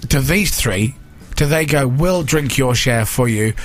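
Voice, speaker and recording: A man hosting a radio chat, jokey and halting, often restarting his sentences as he asks questions. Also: medium pitch, expressive, faint music behind. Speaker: radio host. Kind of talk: casual chat show.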